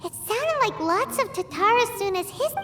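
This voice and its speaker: A woman's voice: very high-pitched, expressive, moderate pace, music in the background. A woman voicing a tiny, chirpy female companion in a game cutscene, who blurts out questions and speaks of herself in the third person. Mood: curious.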